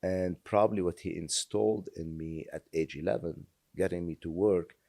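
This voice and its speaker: Man, 60s, very low voice, earnest, halting, dry studio sound. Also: steady tone, gravelly, mellow, no echo. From Lebanon. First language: French. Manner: earnest, halting with many fillers. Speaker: guest. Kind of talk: reflective interview storytelling.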